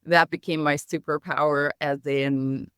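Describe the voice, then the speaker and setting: A middle-aged woman with a near-American accent over her Hungarian first language, speaking in a measured, reflective way. Her voice is smooth and clear, recorded close and dry with no room sound. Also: deep voice, fairly even intonation, fairly bright tone, dry recording. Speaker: guest, company founder. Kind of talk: podcast interview.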